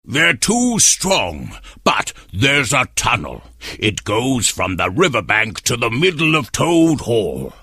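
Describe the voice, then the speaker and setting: A man reading a storybook and voicing a calm, sensible male badger making a plan. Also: medium pitch, slow pace, breathy edge, no echo, studio quality, full character voice. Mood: serious.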